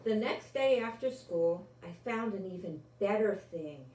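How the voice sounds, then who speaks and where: An older woman reads a picture book to children from a little way off the microphone. Her pace is slow and unhurried, with simple, expressive phrasing. The room adds noticeable echo around her voice. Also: medium pitch. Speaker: storyteller reading a children's picture book aloud. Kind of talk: expressive read-aloud narration for children. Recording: roomy, distant mic with faint noise.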